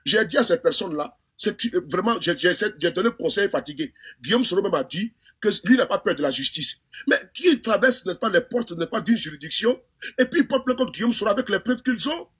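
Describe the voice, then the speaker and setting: Brief: high-pitched Ivorian man, fast husky delivery, fervent political talk with many restarts. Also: animated, fairly bright tone. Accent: Ivorian French. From Côte d'Ivoire. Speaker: political commentator.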